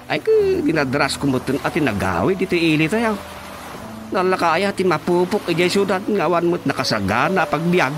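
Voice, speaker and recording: Chatty, lively Ilocano brother-in-law. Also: male voice, a little high, normal speed, background noise. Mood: complaining. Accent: Filipino, Ilocano. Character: chatty out-of-work brother-in-law back from Manila. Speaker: radio drama actor. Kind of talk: comic radio drama acting. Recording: radio drama with music underneath.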